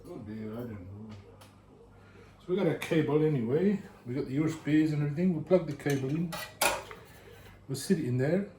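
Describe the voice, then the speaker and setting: A man's voice: a little high, fairly quick, long pauses, dark tone. A man vlogging at home, thinking aloud in a bored, muttering way as he builds a computer, picked up by a camera mic. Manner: sounds bored, muttering to himself. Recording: echoey room with faint hiss.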